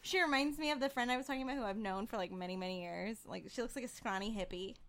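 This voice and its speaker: A woman's voice: a little high, fast talker. A woman chatting casually as a podcast panelist, on a noisy recording. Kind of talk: casual chat. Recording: noisy track with clicks.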